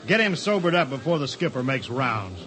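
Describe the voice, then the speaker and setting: An actor playing a brisk, bossy spaceship officer in a 1950s radio drama. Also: male voice, mid-range voice, big pitch swings, lightly acted, low-quality recording. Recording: 1950s radio recording, loud music.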